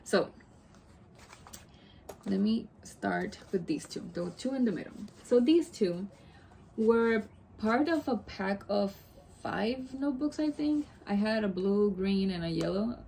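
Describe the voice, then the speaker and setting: A woman's voice: mid-range voice, expressive, slow pace, vocal fry, warm tone, a little hiss or hum. A young woman from Puerto Rico, a native Spanish speaker, chatting casually to camera in a rambling way with many asides and self-corrections. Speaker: vlogger.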